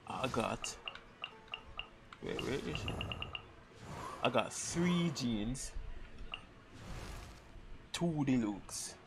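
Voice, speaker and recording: A man's voice: medium pitch, expressive, extremely slow, gravelly, pauses often. A young man giving casual livestream game commentary, rambling and slangy as he thinks aloud. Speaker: game streamer. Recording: noisy livestream mic.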